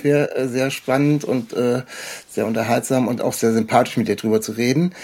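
A man hosting a podcast in a casual interview, talking in a halting, rambling way with a husky voice. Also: medium pitch, fairly even intonation, darkish tone. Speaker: podcast host.

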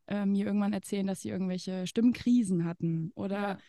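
A woman hosts a casual podcast interview, talking freely and laughing often, with plenty of fillers between her thoughts. Her voice sits in a middle pitch. The recording is dry and close, with no room around it. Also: brisk, mellow, dry recording. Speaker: podcast host.

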